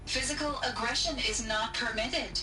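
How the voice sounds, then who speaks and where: A woman voicing a gentle caretaker AI in a video game, speaking in a soft, composed, clinical yet tender way. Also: fairly high pitch, moderate pace, natural voice. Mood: calm. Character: gentle female caretaker AI called Mother. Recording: distant echoey game audio over music.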